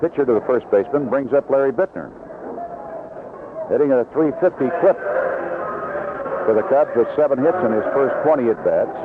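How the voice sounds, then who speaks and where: A man's voice: mid-range voice, expressive, fairly quick, pauses often. A North American man calling baseball play-by-play as a live radio announcer. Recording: tinny, noisy vintage AM radio broadcast.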